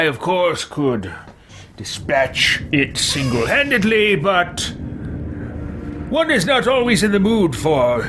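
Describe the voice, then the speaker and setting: A man's voice: fairly high pitch, voice pushed up. A man voicing a haughty, boastful evil wizard with pompous, theatrical delivery while roleplaying a video game.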